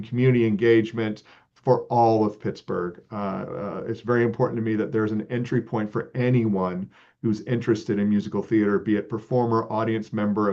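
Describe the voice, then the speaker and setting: A man from Texas, a guest leading an arts organization, answering interview questions in a relaxed, earnest way with many ums and uhs. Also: lowish voice. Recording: slightly dull lo-fi audio.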